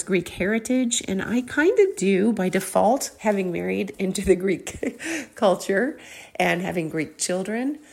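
A woman's voice: fairly low pitch, vocal fry, a little mellow, breathy edge. An older woman hosting a podcast, speaking in a grateful, effusive way as she introduces her guest. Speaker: podcast host.

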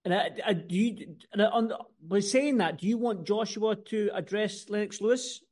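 A man's voice: high voice, moderate pace, darkish tone. A man interviewing in a casual sports style, feeling his way through wordy questions and rephrasing them as he goes.

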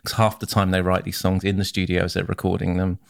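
A man co-hosting a casual podcast, a working band musician who explains things in a relaxed way with dry teasing. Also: deep voice, fast talker, vocal fry, dry recording.

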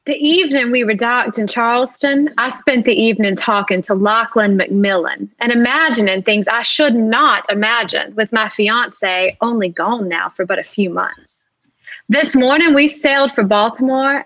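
A woman's voice: fairly high pitch, moderate pace, fairly bright tone, no echo, rough recording. A woman playing a wistful, genteel maiden aunt in an amateur dramatic reading. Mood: dreamy. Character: wistful unwanted maiden aunt, bereaved of her fiance.

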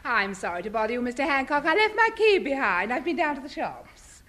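An apologetic, harmless woman in a fifties British radio comedy. Her voice is high and very lively in pitch, with a meek manner. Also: brisk. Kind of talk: 1950s British radio sitcom acting.

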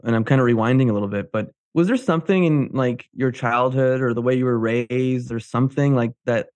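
A man's voice: medium pitch, brisk, a little mellow, no echo. A man from New Jersey hosting a casual interview, informal and curious, rambling into his questions. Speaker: podcast host.

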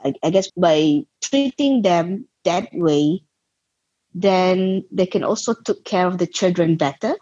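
Husky Malaysian woman, lo-fi call. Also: lowish voice, slow, dark tone, no echo. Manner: thoughtful, searching for words. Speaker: guest, social enterprise founder. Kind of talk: interview conversation. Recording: dull lo-fi sound.

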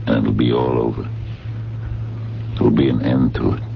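An actor playing a gruff, reluctant diner owner in a radio drama, weary and terse, with a gravelly voice. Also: male voice, steady tone, moderate pace. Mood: reluctant, somber. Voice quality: deep, gravelly. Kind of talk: 1970s radio drama acting. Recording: muffled vintage radio broadcast, faint hum.

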